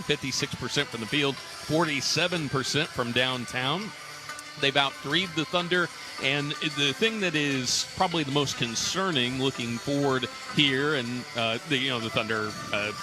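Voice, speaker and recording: Male basketball radio host, mid-pitched voice, live halftime analysis over clearly audible music. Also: low-quality recording. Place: arena radio broadcast. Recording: music behind the broadcast talk.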